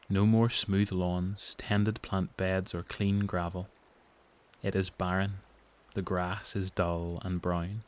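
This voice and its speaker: A man's voice: on the low side, darkish tone. A man with a Northern Irish accent giving scripted, dramatic narration for an audio drama. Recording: noticeable background noise.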